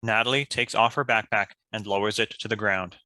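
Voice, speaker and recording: Casting: male scripted narrator, crisp bright tone, slightly low, dry recording. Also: fairly even intonation, a little fast, no room sound, low-quality recording. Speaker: stage-direction narrator.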